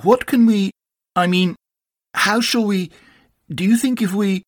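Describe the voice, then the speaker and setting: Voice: medium pitch, sing-song, a little slow, no echo, clean studio sound, pitched above the performer's own voice. A storybook reader voicing an earnest, humble male toy bear with a simple, hesitant manner. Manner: hesitant, simple, well-meaning. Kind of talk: storybook character voice.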